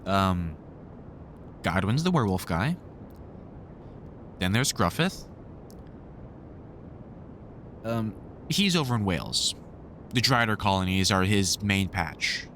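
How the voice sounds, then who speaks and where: A man playing a weary, kindly vampire hunter in an audio roleplay, talking to the listener in a halting, informal way with dry humour. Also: deep voice, lively intonation, a bit bright, slight background noise. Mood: explaining, casual.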